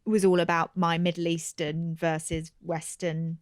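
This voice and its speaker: A woman's voice: lowish voice, level tone, measured pace, no room sound. Laughing, candid woman comedian, dry close sound. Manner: candid, laughing, trails off and restarts. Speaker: guest comedian. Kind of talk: casual podcast chat.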